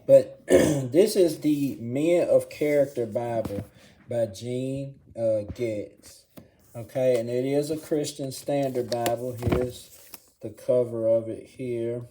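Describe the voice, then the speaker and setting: Hoarse guy doing a bible review, slow and mellow, keeps clearing his throat. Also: mid-range voice, a little hiss or hum, rough recording. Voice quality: raspy voice, clears throat often. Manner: relaxed, filler-heavy, says "okay?" often. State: hoarse from congestion. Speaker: channel host reviewing a Bible. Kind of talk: casual video review.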